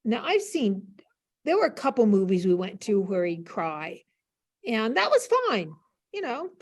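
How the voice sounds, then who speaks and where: A woman's voice: mid-range voice, sing-song, a little slow, darkish tone. Older female panel guest, professor type; chatty, self-deprecating storyteller on a video call. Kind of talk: casual anecdotal storytelling. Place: classroom.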